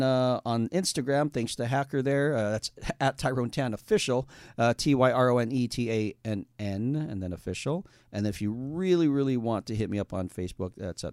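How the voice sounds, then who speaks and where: A man's voice: mid-range voice, a little mellow, dry recording. A young adult man hosting a casual radio talk show from a studio, rambling with lots of fillers while reading plugs.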